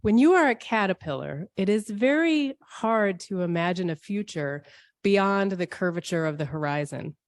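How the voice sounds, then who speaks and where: A woman delivering a scripted, reflective church sermon in measured, poetic phrases with deliberate phrasing. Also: mid-range voice, expressive, no echo, clean studio sound. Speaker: speaker at a church service.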